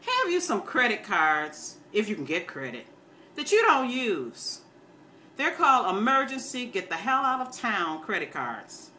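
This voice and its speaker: A woman's voice: mid-range voice, wildly swooping pitch, unhurried, sharp tone, a little hiss or hum. An older American woman chatting casually to her viewers in a folksy, opinionated, rambling way. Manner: folksy, opinionated, plain-spoken, calls listeners baby. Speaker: video blogger.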